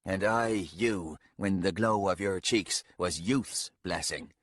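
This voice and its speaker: A man voicing an old, bent castellan who speaks low, steady and patient. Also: slow pace, no echo, clean studio sound, exaggerated voice. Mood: dry.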